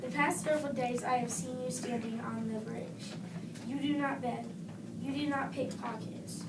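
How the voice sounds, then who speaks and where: Folktale shopkeeper played by a woman, nosy and scoffing, high-pitched and deliberate; distant, noisy recording. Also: fairly even intonation, natural voice. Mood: curious. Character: mocking, inquisitive male shopkeeper from an old folktale. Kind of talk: readers theater reading. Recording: noisy, echoey room from far off.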